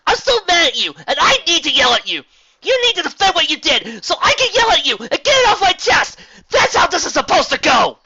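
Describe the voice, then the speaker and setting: A man voicing a brash, hot-tempered young female pegasus who yells and snaps sarcastically, on a dull lo-fi recording. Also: expressive, a little fast, few pauses, full character voice. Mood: furious. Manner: shrill, yelling, sarcastic.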